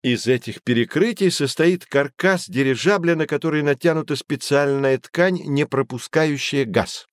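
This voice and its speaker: An actor playing a knowledgeable man who guides children through an educational audio drama, explaining things in a clear didactic way. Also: on the high side, normal speed, few pauses, no echo, studio quality, natural voice. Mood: patient, explanatory. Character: knowledgeable male teacher guiding children on adventures. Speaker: guide explaining history and technology.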